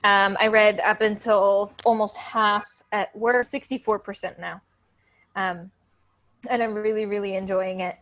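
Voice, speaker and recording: A woman hosting a casual book chat, speaking in a relaxed, low-key way with trailing fillers. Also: measured pace. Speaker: book channel host.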